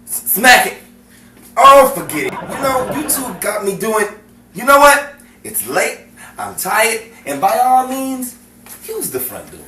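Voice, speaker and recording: Recorded in an echoey room from a distance, a man acts out a flustered 1950s sitcom-style radio husband. He is sarcastic and exasperated, stammering a little, with a lively swooping delivery. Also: extremely high pitch, measured pace, a little hiss or hum, full character voice.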